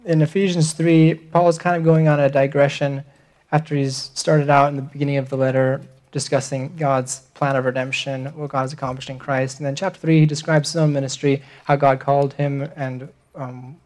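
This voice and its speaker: An earnest young man gives a missions talk to a church congregation. He speaks at an ordinary pitch and often pauses with ums and restarts his sentences. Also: flat delivery, a little mellow. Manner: earnest, hesitant with many fillers.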